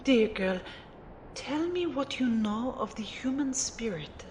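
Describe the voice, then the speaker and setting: Lady doing a mage teacher, snooty but fond, slow n drawn out, kinda high, audio drama. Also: expressive, darkish tone, a little hiss or hum. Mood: gentle, knowing. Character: haughty, fond French female rune mage mentor. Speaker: voice actor in an amateur audio drama. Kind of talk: acted dialogue in an audio drama.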